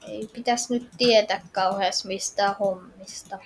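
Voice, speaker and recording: A woman's voice: medium pitch, steady tone, slight background noise. A woman role-playing her way through a game in casual, hesitant talk, musing and asking questions. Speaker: gamer playing through a story. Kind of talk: casual improvised role-play commentary.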